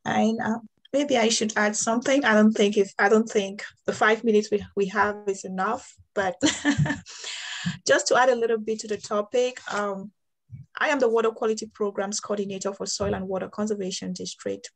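A woman's voice: mid-range voice, fairly even intonation, moderate pace. A woman from Cameroon, a water quality programs coordinator, speaking earnestly over a video call in a breakout discussion, with small restarts.

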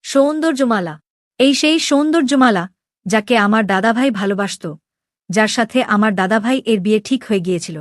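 Woman acting proud, hurt young princess, higher-pitched. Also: moderate pace, dry recording, studio quality, natural voice. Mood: anguished, accusing. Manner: demanding, emotional questioning. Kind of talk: dramatic Bengali animated story dialogue.

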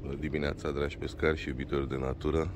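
Very deep-voiced man vlogging outdoors. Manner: relaxed, short descriptive phrases with hesitant fillers. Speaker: fishing vlog host. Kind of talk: casual travel vlog talk to camera. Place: outdoors on a sailboat in a marina. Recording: noisy outdoor recording.

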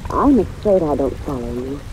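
An actress playing a poised, brisk married woman in 1940s radio style, guarded and theatrical. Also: low voice, big pitch swings, dark tone. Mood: anxious, questioning. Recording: muffled old radio recording, rain noise.

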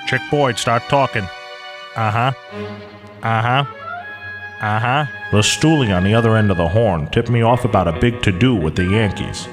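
An actor playing a hard-boiled, wisecracking private eye, narrating a mock radio noir in a deadpan, clipped tough-guy way. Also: fairly low pitch, normal speed, long pauses, natural voice. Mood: deadpan, world-weary. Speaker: detective narrator. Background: music.

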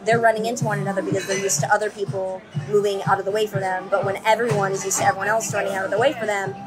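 A woman's voice: mid-range voice, level tone, loud music behind the voice. A young woman filming herself on a phone at arm's length in a holiday villa, chatting candidly and swearing freely. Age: young adult. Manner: candid, swears freely, drawn-out casual fillers. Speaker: vlogger. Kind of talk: casual vlog chat to camera. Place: holiday villa, indoors and on sunny terraces. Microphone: handheld phone at arm's length.

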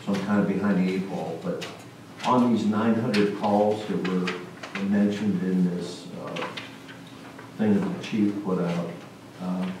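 Male council member, lowish voice, questioning, in a very echoey room. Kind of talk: commenting and questioning at a meeting. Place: small council chamber, indoors. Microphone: distant camera microphone. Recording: echoey, noisy room from far off.

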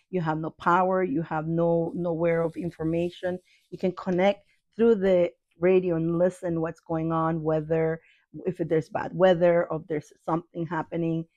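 Female vlogger, middle-aged, lowish pitch, casual review chat, playful and repetitive. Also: a little mellow, no room sound, muffled recording. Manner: playful, addresses viewers affectionately, repeats phrases. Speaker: video host reviewing products. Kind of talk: casual chatty product review. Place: small cluttered room indoors.

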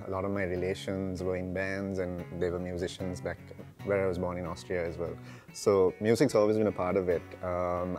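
A man's voice: deep voice, a little mellow. A man, a singer-songwriter talking reflectively and casually as an interview guest. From Sri Lanka. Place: large brick-walled room with big windows, indoors. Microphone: lapel mic. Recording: music behind the voice. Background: guitar music.

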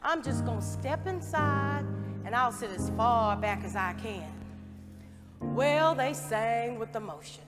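A woman acts a fervent, folksy maid with a high voice. She speaks out slowly and emphatically in a stage musical, in a hall with long reverb. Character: devout, outspoken maid full of fervent faith. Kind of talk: musical stage play acting. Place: theatre stage.

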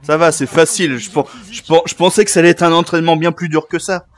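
A man's voice: on the high side, steady tone, fast, faint music behind. A man improvising playful roleplay banter as a streamer, theatrical and joking, prone to sudden outbursts.

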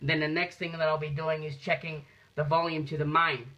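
A man with a slightly high voice talks through a practical demonstration. He goes step by step, deliberate and halting, often repeating a phrase. The sound is a somewhat dull phone recording made indoors. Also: little pitch movement, sharp tone. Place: small indoor room. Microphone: phone camera held nearby. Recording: muffled phone video sound.

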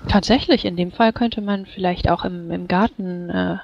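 Female role-player, slightly low voice, formal in-character delivery, muffled recording. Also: fairly even intonation, normal speed, vocal fry. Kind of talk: in-character tabletop roleplay. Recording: dull, noisy microphone with clicks.

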